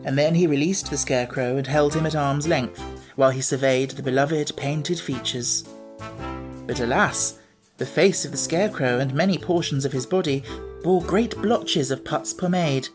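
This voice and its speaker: A man narrating a classic children's audiobook in a measured, even storytelling manner. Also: a little high, music in the background.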